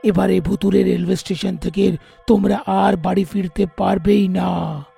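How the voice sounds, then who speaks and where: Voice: medium pitch, little pitch movement, normal speed, creaky, mellow, husky, faint music behind, full character voice. An actor voicing a menacing male ghost who haunts a railway station in a cartoon story, speaking in a taunting, cackling way. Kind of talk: cartoon voice acting.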